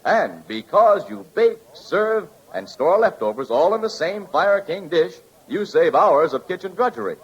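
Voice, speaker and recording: A man's voice: high voice, big pitch swings, a little slow, few pauses, breathy edge. A man announcing in scripted 1940s radio advertising style, with an emphatic sales delivery. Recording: dull old radio recording.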